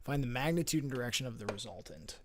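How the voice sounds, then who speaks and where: A man's voice: mid-range voice, quick pace. A man tutoring physics over a screen share in a casual way, thinking aloud with lots of fillers and restarts. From United States.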